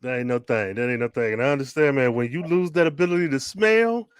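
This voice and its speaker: A man's voice: mid-range voice, quick pace, clear, resonant tone, no echo. Laid-back male podcast host, dry close sound. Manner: laid-back, laughs often, swears casually. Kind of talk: casual podcast chat.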